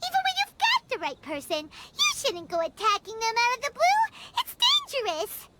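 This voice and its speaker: A woman voicing a small, excitable female fairy companion in a game, with a very high, squeaky voice. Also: normal speed, very noisy, full character voice. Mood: indignant. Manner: excitable, indignant, chatty. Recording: game audio with loud music behind. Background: game music.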